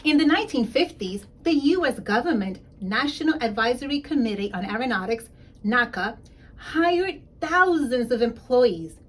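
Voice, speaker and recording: A woman reading a children's story aloud in an expressive, emphatic way, speaking directly to young listeners as a school principal would. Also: a little high, normal speed, breathy edge, rough recording.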